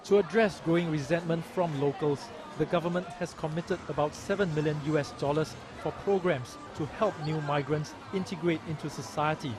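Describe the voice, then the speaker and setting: A man reading a documentary news report in a measured, even voice. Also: fairly high pitch. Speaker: news narrator. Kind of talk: scripted documentary narration. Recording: voice-over with music behind.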